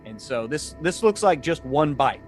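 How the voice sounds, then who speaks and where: A man running a tabletop game, improvising descriptions in a halting, conversational, explanatory way. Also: a little high, very animated, soft background music. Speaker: game master.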